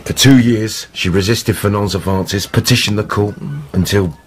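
Radio play: male actor as wary, sly barfly storyteller, low-pitched, wry and conspiratorial. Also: normal speed, slight background noise, lightly acted. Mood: gossipy. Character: seedy gossiping male tavern drinker, sly and wary. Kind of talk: radio drama acting.

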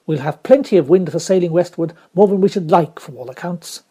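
A seasoned storybook sea captain, as a reader puts him on, speaking loudly and firmly, waving off doubts with calm certainty. The voice has a husky grain. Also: mid-range voice, fairly quick, close to an everyday voice. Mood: assured. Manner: firm, dismissive, assured. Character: confident veteran male ship's captain.

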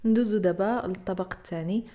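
Moroccan lady walking you through meal prep, fast talker, soft dark tone. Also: mid-range voice. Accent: Moroccan Arabic. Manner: explains each step plainly, addressing viewers directly. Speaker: cooking channel host. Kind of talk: voice-over cooking tutorial.

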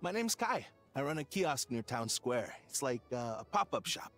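An actor voicing a laid-back, enthusiastic young surfer-dude shopkeeper in a cozy video game. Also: male voice, medium pitch, very animated, moderate pace, fairly bright tone, faint music behind.

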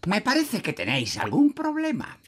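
A man voicing a smug, wise old elf in a children's cartoon, pompous and deliberate. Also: high-pitched, wildly swooping pitch, a bit bright, a little hiss or hum, heavily acted. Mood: self-important. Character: smug, wise old male elf, know-all builder. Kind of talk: animated children's cartoon dub.

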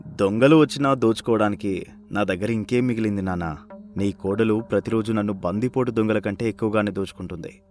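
A low-pitched, downcast grown son, voiced by a man, speaks in a resigned, polite way. It is cartoon storytelling with light music underneath. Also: fairly quick, darkish tone, lightly acted. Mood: dejected. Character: weary, dejected grown son, respectful to father. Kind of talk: animated moral-story voice acting.

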